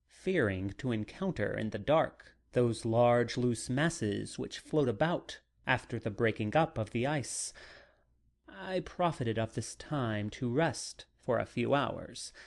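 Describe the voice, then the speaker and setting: An audiobook narrator reading classic literature dramatically, with measured and deliberate phrasing. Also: mid-range voice, a little mellow.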